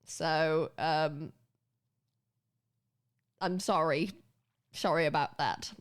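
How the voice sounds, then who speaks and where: A woman's voice: deep voice, fairly even intonation, drawn-out words. A British woman streaming, chatting casually and rambling with her viewers, swearing freely and often restarting her sentences. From Britain. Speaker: livestreamer.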